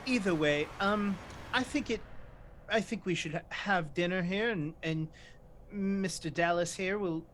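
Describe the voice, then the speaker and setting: A man's voice: sits high, measured pace, fairly bright tone, a little hiss or hum. A man playing in a tabletop game, often stammering and repeating words. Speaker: player. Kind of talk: tabletop roleplay chat.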